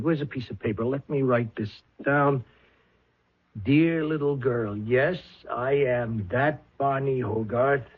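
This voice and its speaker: An actor playing a brash, cynical male hustler boss in an old-time radio drama, gruff, slangy and blustering. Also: medium pitch. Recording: muffled vintage 1970s radio recording.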